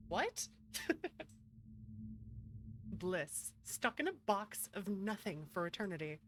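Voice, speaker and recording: A woman's voice: medium pitch, big pitch swings, takes it slowly, slight background noise. A woman doing casual livestream game commentary, playful and slangy, swearing and bantering with her chat. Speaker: streamer, virtual YouTuber.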